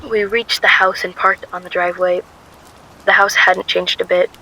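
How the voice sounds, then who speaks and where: A bright, forceful voice of a young woman on the run played by a voice actress, frightened yet determined. She tells and acts out her story in the first person, as in a radio drama. Faint noise sits behind her. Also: fairly low pitch, level tone, moderate pace, low-quality recording. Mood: reflective.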